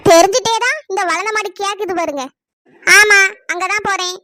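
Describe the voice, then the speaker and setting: A very high, bright cartoon voice from a woman for a defiant village woman. She mutters complaints and snaps back with indignant excuses, in a dry, close sound. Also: a little fast, dry recording. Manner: grumbling asides, indignant retorts. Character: gossipy village woman, evasive, defiant, easily irritated. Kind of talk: cartoon village comedy dialogue.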